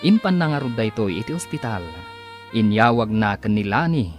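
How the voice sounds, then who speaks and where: A male announcer with an Ilocano accent narrates a radio drama serial. His mid-pitched voice swings widely and dramatically, with music playing underneath. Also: expressive, normal speed.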